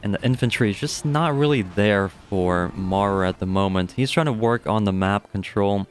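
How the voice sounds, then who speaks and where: Deep-voiced young man casually commentating a strategy game. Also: moderate pace, clean tone. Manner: casual, chatty, swears offhandedly. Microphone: studio dynamic microphone.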